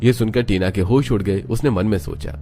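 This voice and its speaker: Male story narrator, lowish pitch, dark relaxed timbre, scripted storytelling. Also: a little fast, a little hiss or hum.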